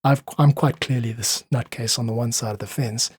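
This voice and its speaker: A man's voice: mid-range voice, fairly even intonation, a little fast, husky, no echo. A South African man speaking as a musician guest in a conversational interview, emphatic, persuasive and informal as he gives his opinions.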